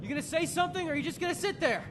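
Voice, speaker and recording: A man's voice: very high-pitched, lively intonation, very fast, a bit bright, slight background noise. A man playing a bitter, confrontational young cadet in an anime parody drama. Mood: angry.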